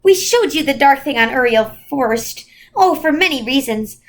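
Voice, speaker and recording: A woman voicing a kindly, chatty old celestial lady, gentle and motherly, in a storybook reading. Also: high voice, expressive, normal speed. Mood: gentle, regretful.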